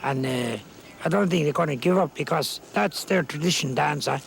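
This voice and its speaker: A hoarse man with a gravelly, fairly high voice, talking easily in an interview about his festival's dances. He rambles a bit with "you know" and sounds like he has worn out his voice calling square dances the night before. A faint hiss sits under his words. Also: normal speed.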